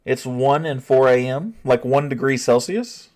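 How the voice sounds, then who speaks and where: A man, a streamer, chatting casually with his live chat, thinking out loud and often restarting his sentences. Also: mid-range voice, a little slow.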